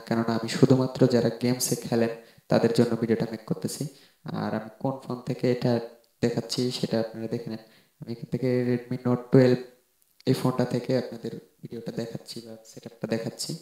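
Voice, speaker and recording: A man's voice: mid-range voice, mellow. A man narrating an informal how-to tutorial, explaining step by step and often repeating himself. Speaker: tech tutorial host. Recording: roomy echoing voice-over.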